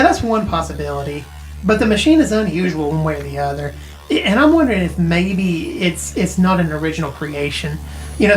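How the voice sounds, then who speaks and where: A woman's voice: fairly low pitch, natural voice, poor audio. An actress playing a tech-savvy woman who explains things informally and hedges as she goes, in naturalistic audio drama dialogue. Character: tech-savvy, casual woman who knows retro arcade games. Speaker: character in a mystery audio drama.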